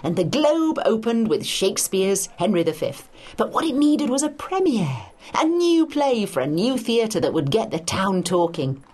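A woman's voice: medium pitch, very animated, moderate pace, hardly any pauses, darkish tone, slightly rough. A woman giving a scripted educational narration for a study guide, in an emphatic storytelling manner.

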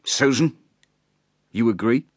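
A man's voice: medium pitch, expressive, slow, breathy edge, dry recording, clean studio sound, voice pushed up. A man voicing a drunken, brash rustic hay trusser who blusters and boasts. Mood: blustering. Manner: blustering and boastful, shouting at times.

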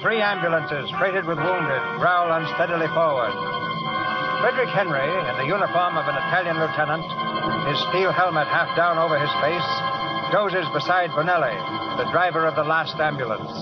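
Vintage radio announcer over loud music. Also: male voice, fairly high pitch, moderate pace. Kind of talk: scripted scene-setting narration. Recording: muffled old radio broadcast over music.

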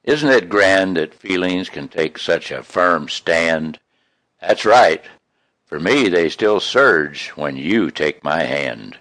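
A man reading his own poems in an expressive, folksy, homespun way, with casual introductions between them. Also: deep voice, slow pace, no echo. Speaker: poet reading own work.

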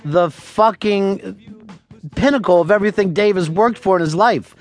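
Deadpan, profane male radio host. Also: high voice, normal speed, soft background music. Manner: deadpan, profane, spins absurd tall tales. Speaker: radio talk show host. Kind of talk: casual radio chat taking callers.

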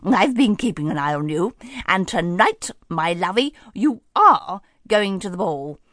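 A woman's voice: fairly low pitch, very animated, a little slow, a bit bright, no echo, studio quality. A woman voicing a kindly, bustling old fairy godmother who talks in a cosy, motherly way. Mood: cheerful.

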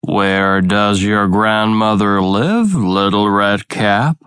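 A man voicing a wicked, crafty wolf in a storybook, with a slow, breathy, coaxing whisper. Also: fairly low pitch, fairly bright tone, no echo, clean studio sound. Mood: sly, coaxing.

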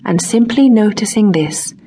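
A woman narrating a scripted guided relaxation exercise in a gentle, unhurried manner, leaving long pauses between short phrases. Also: medium pitch, breathy edge. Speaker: audiobook narrator, meditation guide.

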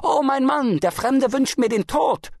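A haughty rich wife from a storybook, as a man plays her, high and very animated. She needles with false sweetness and insists like someone used to getting her way. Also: moderate pace, breathy edge, no echo, clean studio sound, full character voice. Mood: shrieking. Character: haughty, pestering rich merchant's wife, mocking and shrill.

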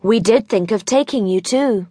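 A woman's voice: medium pitch, normal speed, warm tone, no echo, voice pushed up, natural voice. A woman voicing a sensible, earnest young country girl who explains things eagerly in a children's audiobook. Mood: matter-of-fact.

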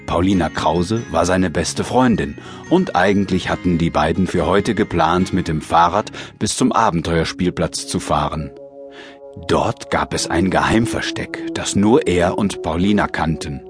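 A man's voice: deep voice, a little slow, music in the background. A man reading a scripted narration of a children's story as the narrator. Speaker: audiobook narrator.

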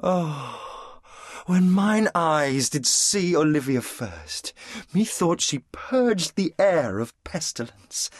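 Slow, languid lovesick duke. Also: male voice, on the high side, very animated, breathy edge, no room sound, clean studio sound, full character voice. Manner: languorous, poetic, sighing delivery. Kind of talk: Shakespearean audio drama.

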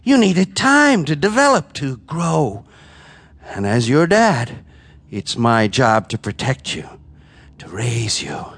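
An actor playing a grief-maddened scientist father in an audio drama, intense and theatrical, with halting repetitions. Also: medium pitch, wildly swooping pitch, fairly bright tone, husky, full character voice. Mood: feverish resolve. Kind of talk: live audio drama acting with monologue narration. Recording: live stage recording with music underneath.

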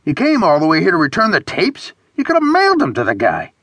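A man's voice: high-pitched, huge pitch swings, quick pace, fairly bright tone, dry recording, voice pushed up, exaggerated voice. A man voicing a pushy, bored, childish friend in audiobook dialogue, whining and exclaiming. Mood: pushy, incredulous.

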